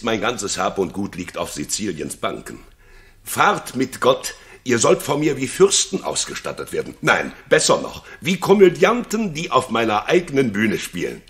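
Loyal scheming courtier, low register, very animated, declamatory sixties radio play. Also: male voice, gravelly, exaggerated voice. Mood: exuberant. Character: shrewd loyal male courtier, patient schemer and homesick adviser. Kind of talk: 1960s German radio play acting.

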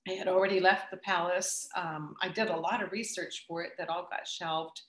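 A middle-aged woman answering audience questions after her talk, thoughtful and pausing as she restarts her sentences. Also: lowish voice, fairly even intonation, fairly bright tone, roomy sound, poor audio. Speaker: guest speaker.

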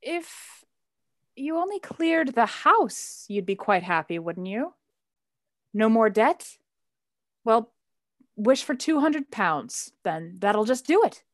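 A woman's voice: a little high, big pitch swings, slow, pauses often, dry recording, clean studio sound. A woman acting in a radio play as a playful, teasing grown son of the family. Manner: teasing, exuberant.

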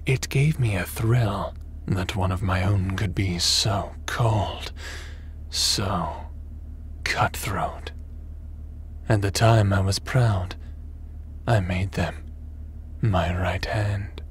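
Slow, menacing low-voiced male crime boss, acted by a man. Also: a little hiss or hum. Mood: smug, gloating. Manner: menacing, measured, smug, theatrical. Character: cold, scheming male crime boss craving power. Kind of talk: sci-fi audio drama acting.

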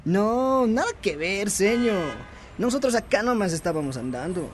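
A man's voice: sits high, lively intonation, normal speed, a little hiss or hum. A man playing a defensive, evasive Bolivian street youth in a radio drama.

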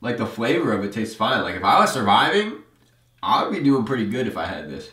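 A man hosting a casual food review, talking in an upbeat, playful way in a studio room. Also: fairly quick. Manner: upbeat, playful, lively pitch swings. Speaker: channel host. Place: dark studio room, indoors. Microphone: lapel mic. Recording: echoey room, mic off at a distance.

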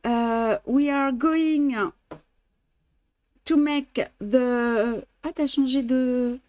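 High-voiced French embroidery teacher. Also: female voice, steady tone, extremely slow, a little mellow, clear, resonant tone, no room sound. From France. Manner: chatty, laughs often, mixes in English and Spanish. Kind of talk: live-streamed stitching lesson. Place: indoor studio, blue backdrop.

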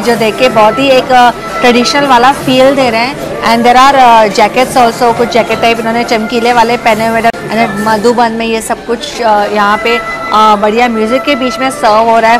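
A woman in her thirties giving a casual food review vlog on a lapel mic in a restaurant dining hall, chatting and mixing in English phrases. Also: fairly high pitch. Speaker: food vlogger. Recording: restaurant chatter and music behind.

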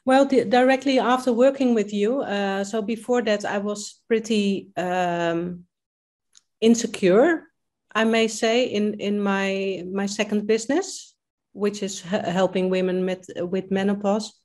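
A woman's voice: mid-range voice, fairly even intonation, a little slow, a little mellow. A woman in her fifties with a Dutch accent, speaking English as a second language on a video call, hesitant with many fillers and restarts. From Netherlands. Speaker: coaching client. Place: home kitchen.

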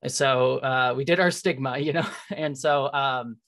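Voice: medium pitch, fairly bright tone. An interview host with a mid-range voice speaks casually on a video call. The delivery rambles and stops and starts, with a fan's enthusiasm. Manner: rambling, halting, filler-heavy. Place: indoors, remote video call.

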